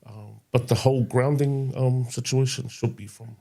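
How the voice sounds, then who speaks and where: A young man co-hosting a casual podcast, talking in a halting way with lots of "um" and "yo" interjections. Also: mid-range voice, fairly even intonation, creaky, mellow. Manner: halting, many fillers and restarts, says yo often. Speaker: podcast co-host. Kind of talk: casual chat.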